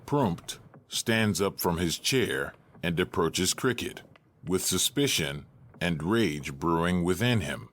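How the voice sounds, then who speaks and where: A man with a very low voice narrates a scripted drama. He reads slowly, with plenty of movement in his pitch. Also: lively intonation, a bit bright.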